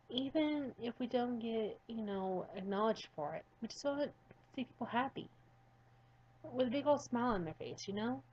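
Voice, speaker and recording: A woman's voice: mid-range voice, highs cut off. A woman reading a visual novel aloud with rambling, chatty commentary from a home desk mic. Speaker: gaming youtuber.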